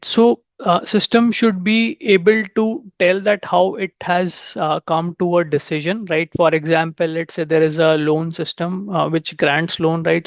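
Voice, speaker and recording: A man giving a conference talk on testing AI systems. He pauses on "uh" and corrects himself, and ends nearly every point with a checking "right?". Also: high voice, creaky, no room sound, dull audio. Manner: frequent "uh" fillers and self-corrections. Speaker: conference speaker.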